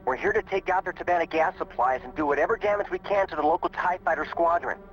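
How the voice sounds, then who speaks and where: A man playing an earnest, steady young rebel squadron leader in a video game cutscene, giving urgent military orders. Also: fairly high pitch, quick pace. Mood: earnest briefing. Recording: muffled lo-fi game audio, music behind.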